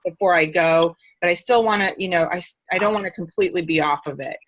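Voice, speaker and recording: A woman's voice: on the low side, fast, low-quality recording. A woman in her forties chatting casually and upbeat on a group video call. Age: 40s. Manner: chatty, upbeat, fillers like "you know". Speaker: group host.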